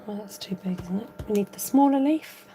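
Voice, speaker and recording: A woman hosting a live card-making tutorial, thinking aloud as she works, chatting with viewers and reading out their comments. Her voice is a little croaky. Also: mid-range voice, fairly quick, dark tone, a little hiss or hum. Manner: rambling, thinks aloud while working. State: slightly croaky voice. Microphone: overhead camera above a craft table, voice from off-frame.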